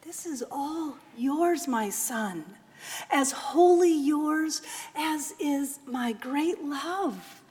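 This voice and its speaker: A woman voicing a doting, forgiving older mother who speaks softly and often in a hush, recorded with a long echo. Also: sits high, very slow, pitched above the performer's own voice. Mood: hushed, tender. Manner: soft hushed tender voice, often whispering.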